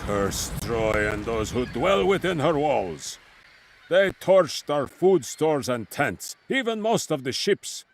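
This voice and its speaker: A man's voice: mid-range voice, sing-song, slow, creaky, a little hiss or hum, heavily acted. A man playing a grim, anxious ancient Greek war leader in a game cutscene, dramatic and emphatic. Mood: bitter, worried. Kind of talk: video game cutscene acting.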